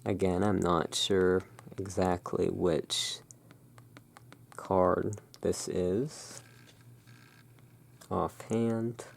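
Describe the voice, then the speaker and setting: A person speaking in a halting, musing way, explaining things as the owner of a gothic curiosity shop in an ASMR roleplay. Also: low voice, steady tone, gravelly. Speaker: roleplaying host. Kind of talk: ASMR roleplay, showing tarot cards.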